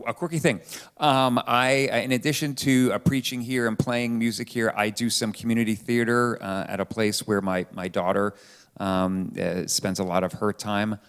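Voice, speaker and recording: A middle-aged man casually introducing himself to a live audience, halting and wry as he goes. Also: fairly low pitch, clean tone. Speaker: panelist. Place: church panel before an audience. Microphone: live sound microphone.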